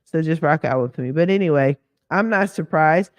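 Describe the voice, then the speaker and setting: A woman whose voice sounds hoarse and deeper than usual from a cough, running a credit repair business and talking through a casual screen-share walkthrough in a candid, slangy way with sassy asides. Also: moderate pace, no room sound, muffled recording.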